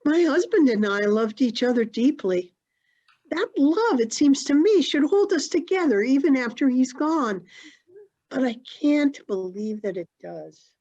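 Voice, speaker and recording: A grieving, doubtful widow acted by a woman, high-voiced and mellow. She speaks earnestly and plaintively in a stage drama, over a dull, lo-fi recording. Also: animated, measured pace, full character voice. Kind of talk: one-act stage play performed on a video call.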